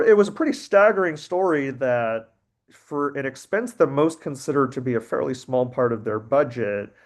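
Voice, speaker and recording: Man presenting on a video call webinar. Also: mid-range voice. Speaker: webinar panelist.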